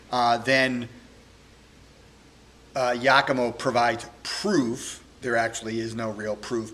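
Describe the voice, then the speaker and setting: A man giving an informal spoken introduction as a presenter, halting with frequent ums and pauses. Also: medium pitch, fairly bright tone, low-quality recording. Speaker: librarian presenting a poetry reading.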